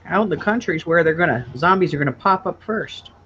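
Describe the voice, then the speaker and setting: A woman's voice: lowish voice, animated, brisk, fairly bright tone, muffled recording. A woman in her forties co-hosting a casual livestream. She sounds congested and stuffed up, and she teases in a playful way. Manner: teasing and playful. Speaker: co-host of a live chat show.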